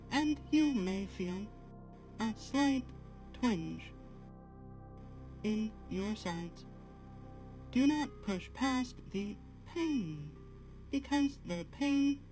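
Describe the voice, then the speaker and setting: Earnest female AI streamer, acted by a woman, halting formal delivery at a very unhurried pace, soft music underneath. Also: mid-range voice. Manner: measured, halting, formal, avoiding contractions. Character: polite artificial-intelligence female assistant, apologetic and gently earnest. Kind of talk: slow guided talk to a live chat.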